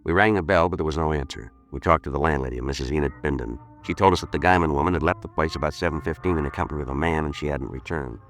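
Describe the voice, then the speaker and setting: An actor playing a stoic, businesslike police detective in a 1950s radio crime drama, deadpan, clipped and polite. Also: male voice, a bass voice, very fast, hardly any pauses. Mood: matter-of-fact. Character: stoic, businesslike male police detective, narrating his case.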